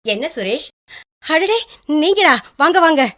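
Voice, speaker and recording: A woman playing a middle-aged, worried and reproachful mother in a Tamil radio drama, expressive and admonishing. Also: high-pitched, unhurried, heavily acted. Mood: anxious, deferential. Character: worried, reproachful mother of a hot-headed son. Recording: faint music bed under the voice.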